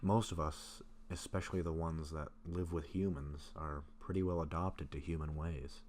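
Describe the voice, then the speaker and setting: A man acting a gentle, bashful, sick vampire in an intimate roleplay audio, speaking softly and haltingly to a close friend. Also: very deep voice, steady tone, slight background noise. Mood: nervous, confessing. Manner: soft-spoken, hesitant, halting with pauses.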